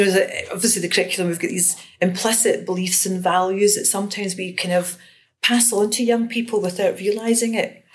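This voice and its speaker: A woman in her fifties from Scotland, an education researcher and lecturer, talking through her research in an interview with frequent restarts and fillers. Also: lowish voice. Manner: thoughtful, many restarts and fillers. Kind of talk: conversational interview.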